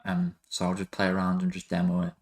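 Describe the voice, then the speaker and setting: Low-pitched, monotone man giving a tutorial. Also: fast talker, vocal fry, slight background noise. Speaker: music production tutorial host. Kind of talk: casual software tutorial narration.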